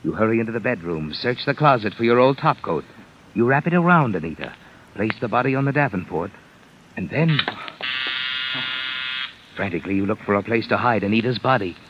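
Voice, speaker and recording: An actor playing an ominous, knowing narrator in 1950s radio suspense, dramatic and sardonic. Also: male voice, fairly low pitch, animated, brisk, pauses often, background noise, natural voice. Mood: sardonic, urgent. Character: ominous, knowing male narrator addressing the killer. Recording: muffled vintage radio recording with music.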